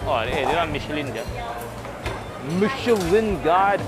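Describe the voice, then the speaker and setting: A man's voice: a little high, animated, a little slow. A man in his thirties hosting a casual food vlog, upbeat and chatty as he explains dishes, recorded on a lapel mic outdoors with music underneath. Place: outdoors and at open-air food centres. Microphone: clip-on lapel mic.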